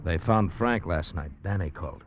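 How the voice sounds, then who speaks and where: An actor playing a scheming, greedy man in a vintage radio drama, in a hard-boiled confessional and smoothly persuasive way. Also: deep voice, normal speed, close to an everyday voice. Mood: controlling, urgent. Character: scheming, greedy man narrating his murderous affair. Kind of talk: 1940s-50s radio drama acting. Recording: dull vintage radio recording with music.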